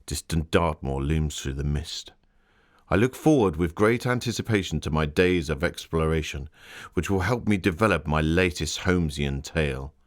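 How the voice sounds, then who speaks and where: An actor playing a Victorian gentleman author, narrating his travels in a formal, literary, theatrical manner. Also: extremely low pitch, animated, normal speed. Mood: eager, ominous. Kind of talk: scripted dramatic narration.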